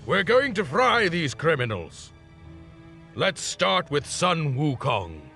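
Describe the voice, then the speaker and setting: A woman voicing a powerful, stern male immortal with a booming, commanding delivery. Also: sing-song, slow, full character voice. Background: soft music.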